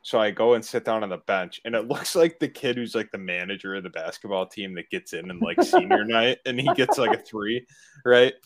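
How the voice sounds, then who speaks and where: Young male regular with a lowish voice; tells self-deprecating stories, quick to laugh. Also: wildly swooping pitch. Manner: self-mocking storyteller, laughs often. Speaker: podcast regular. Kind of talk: casual chat.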